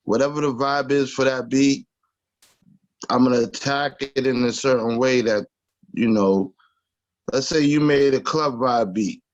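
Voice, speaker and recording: A raspy-voiced man with a New York accent chats loosely in an interview, full of fillers and false starts. His pitch sits in the middle, and the recording sounds a bit dull and lo-fi. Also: measured pace. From Long Island, New York. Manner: relaxed, loose, many fillers and restarts. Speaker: rapper guest. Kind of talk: casual interview talk.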